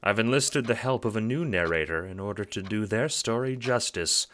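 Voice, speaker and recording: A man's voice: fairly low pitch, normal speed, fairly bright tone. A man reading scripted, dramatic narration for an audiobook-style drama.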